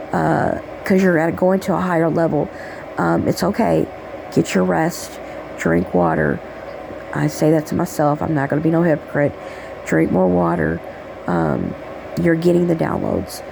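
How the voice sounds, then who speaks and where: A woman with a raspy voice giving a rambling, affectionate spiritual message, checking in with "okay?" as she talks. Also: lowish voice, background noise. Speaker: spiritual channeler. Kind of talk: casual spiritual message monologue.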